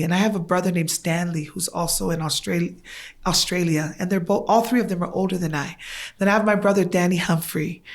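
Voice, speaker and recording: A middle-aged woman from Tonga whose first language is Tongan, a podcast guest earnestly and reflectively recounting her upbringing. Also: fairly low pitch. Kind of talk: podcast interview, recounting childhood.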